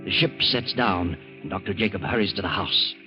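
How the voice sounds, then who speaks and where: A low-voiced, ominous American narrator, acted by a man tells an old radio mystery dramatically. Music plays loudly behind him. The sound is dull and dated. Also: close to an everyday voice. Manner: dramatic, deliberate. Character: brooding, ominous male mystery storyteller. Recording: muffled vintage radio recording. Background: loud dramatic music.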